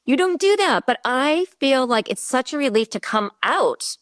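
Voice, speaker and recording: A woman from the American Midwest, co-hosting and telling a story in an animated way. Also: high-pitched, normal speed, dry recording, studio quality. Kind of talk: conversational interview.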